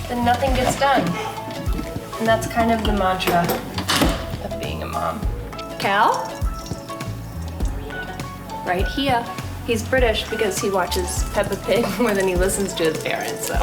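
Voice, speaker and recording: A woman hosting a family craft vlog, chatting casually in a playful, self-deprecating way. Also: mid-range voice. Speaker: host of a parenting craft vlog. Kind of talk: casual chat to camera and family. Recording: roomy echo, loud music behind. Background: music.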